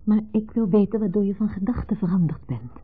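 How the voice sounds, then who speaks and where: Thin phone-like old radio drama, probing wife, husky voice, direct, persistent. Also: female voice, medium pitch, lively intonation, brisk. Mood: insistent. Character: insistent, probing married woman hosting her former husband. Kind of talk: 1970s Dutch radio play acting. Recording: muffled, phone-like 1970s radio recording.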